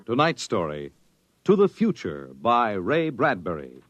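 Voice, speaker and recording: A man narrating a 1950s radio drama in a dramatic, measured, portentous manner. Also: on the low side, wildly swooping pitch, no room sound. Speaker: announcer and narrator. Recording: muffled old radio broadcast, loud music behind. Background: loud dramatic music.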